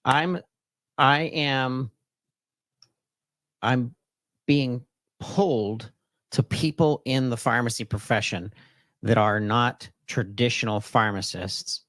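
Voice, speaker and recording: Male podcast host, mid-range pitch, upbeat promotional interview style, very little room sound. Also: fairly bright tone, no room sound.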